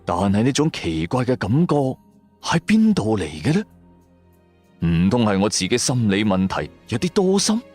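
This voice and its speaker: A man's voice: fairly low pitch, huge pitch swings, normal speed, a little mellow. A man with a Cantonese accent narrating a first-person audio drama in a colloquial, theatrical storytelling style. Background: background music.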